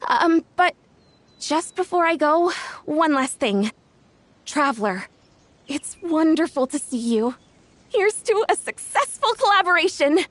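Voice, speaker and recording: A woman's voice: sits high, lively intonation, measured pace, no echo. A woman voicing an earnest young event organizer in a video game, chatty, friendly and a little flustered. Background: soft game music.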